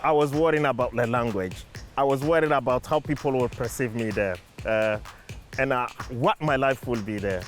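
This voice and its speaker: A man's voice: mid-range voice, moderate pace. Earnest man, French native, over music. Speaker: community campaigner. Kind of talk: documentary interview.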